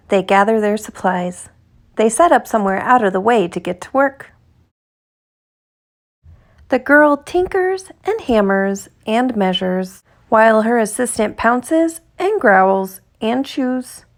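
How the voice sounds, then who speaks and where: A woman reading a children's picture book aloud in an expressive, deliberate storytelling manner, stretching words for emphasis. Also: a little high. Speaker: storytime reader.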